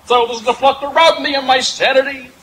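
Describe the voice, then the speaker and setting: An actor playing a pompous, gluttonous cartoon gentleman in a radio play, with flowery, theatrical speech. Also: extremely high pitch, steady tone, fast talker, rough recording. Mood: pleading, agonized. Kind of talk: old-time radio cartoon acting. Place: outdoor stage in bamboo woods. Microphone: stage stand microphone. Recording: live outdoor stage, background noise.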